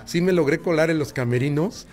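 A Mexican man hosts a late-night chat show from a small studio and speaks into a desk mic. His voice sits a little high, and he teases the others at a quick clip. Guitar playing comes through clearly behind him. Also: darkish tone. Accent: Mexican Spanish. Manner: joking, teasing, quick banter. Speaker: host. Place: small decorated studio with colored lights. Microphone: desk microphone on a boom arm.

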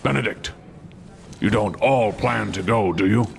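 A man's voice: lowish voice, unhurried, gravelly, a little hiss or hum. A man playing a grave, cautious dragon ally in a fantasy audio drama.

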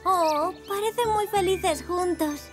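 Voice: extremely high pitch, slow, over music. A performer playing a cheerful, enthusiastic little girl zebra in a children's cartoon, with an eager manner. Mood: delighted. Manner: bright-eyed, eager.

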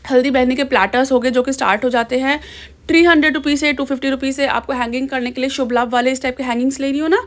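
A woman's voice: high voice, steady tone, rapid-fire. A young woman filming a chatty shopping vlog as she walks through a busy outdoor market, talking to the viewer through a handheld camera or phone. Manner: chatty, keeps asking "ठीक है". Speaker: shopping vlogger.

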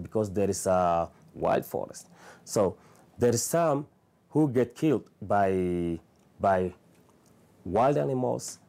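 A man in his thirties with a Somali accent, telling his own story haltingly and earnestly in an interview indoors on a lapel mic. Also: lowish voice, dark tone. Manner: halting, plain and earnest, with many restarts. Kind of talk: personal testimony. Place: small living room, indoors.

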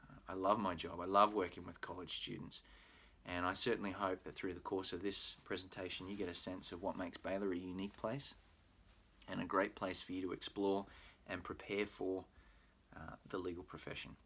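A middle-aged Australian man with a very low voice talks prospective students through a university program. He explains things in a friendly, patient way, dropping in plenty of "uh"s. He is recorded on a webcam in a small room at home. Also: level tone, normal speed, fairly bright tone, slightly rough. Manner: friendly, explanatory, with frequent "uh" fillers. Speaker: pre-law program manager. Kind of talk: recorded admissions presentation. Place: home room with bookshelf, indoors.

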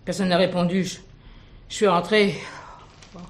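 A woman's voice: fairly low pitch, flat delivery, normal speed, close to an everyday voice. A woman playing a flustered, chatty elderly widowed concierge in a detective radio play, rambling, hesitant and deferential. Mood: rambling, shaken.

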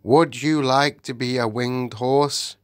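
A man reading aloud and voicing a majestic male lion king with a slow, grand, solemn delivery. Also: mid-range voice, fairly even intonation, no room sound, clean studio sound, pitched above the performer's own voice, lightly acted. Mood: kindly.

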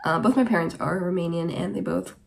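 A woman's voice: lowish voice, brisk, gravelly, darkish tone. A woman around twenty from Germany, hosting her podcast in casual, rambling chat to camera in a small room. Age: about 20. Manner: rambling, chatty, tangent-prone. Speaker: podcast host. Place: small bedroom, indoors.

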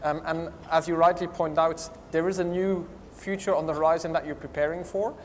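Man, moderator, slightly high voice, conversational, repeats words, hall reverb. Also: level tone, fairly quick. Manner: conversational, repeats words when starting questions. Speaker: panel moderator. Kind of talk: moderating a conference panel. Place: large hotel conference hall. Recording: hall reverb with faint noise.

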